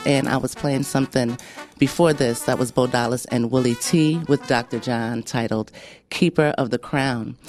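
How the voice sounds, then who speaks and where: A woman's voice: extremely low pitch, darkish tone. A woman hosting a radio music show, chatty and enthusiastic as she talks with her guests. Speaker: radio host. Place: radio studio.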